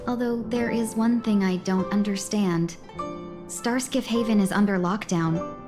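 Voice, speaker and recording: Young merchant envoy for a game, actress: mid-range voice, gracious formal delivery, music underneath. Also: female voice, mid-range voice, unhurried, lightly acted. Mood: gracious, composed. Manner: courteous, flowery formal phrasing. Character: polite, refined young female merchant ambassador. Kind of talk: video game voice acting.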